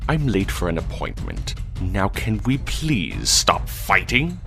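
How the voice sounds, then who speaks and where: A man's voice: sits low, big pitch swings, slow, bright, forceful tone, faint music behind, exaggerated voice. A man playing a grim, aloof robot warrior in a motion comic, curt, cold and menacing. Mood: cold impatience. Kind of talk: motion comic character acting.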